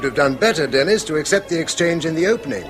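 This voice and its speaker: An actor with an English accent playing a dry, witty middle-aged schoolmaster in a radio drama, with a wry, bookish manner. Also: male voice, fairly high pitch, animated, fast talker, over music, lightly acted. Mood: wry, genial. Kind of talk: British radio drama acting.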